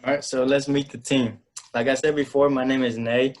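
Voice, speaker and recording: A man's voice: mid-range voice, level tone, brisk. A young man giving a prepared student presentation. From Louisville, Kentucky, US. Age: young adult.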